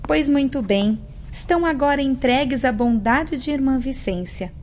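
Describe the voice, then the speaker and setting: An actress playing a calm, formal female spirit who directs a women's hospital in a radio play. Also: high voice, normal speed, a little hiss or hum. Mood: calm welcoming.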